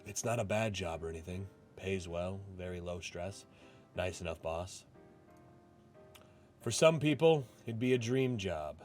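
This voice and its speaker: A man's voice: low-pitched, lively intonation, a little slow, darkish tone. A man voicing a disillusioned, reflective male office clerk who narrates his own life in a visual novel. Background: game music.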